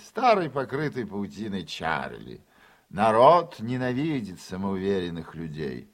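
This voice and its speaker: An actor playing a brash senator who speaks with sarcastic oratory in a radio play. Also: male voice, mid-range voice. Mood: rousing, self-assured. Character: brash, mocking male senator giving a broadcast speech. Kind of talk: theatrical radio-play political speech.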